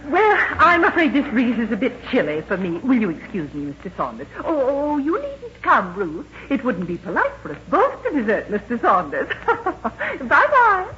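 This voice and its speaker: A woman's voice: a little high, huge pitch swings, normal speed, few pauses, sharp tone, full character voice. An actress playing a gushing, fussy middle-aged mother who talks in a coy, solicitous way in a 1940s radio comedy. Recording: dull, faintly noisy old radio broadcast.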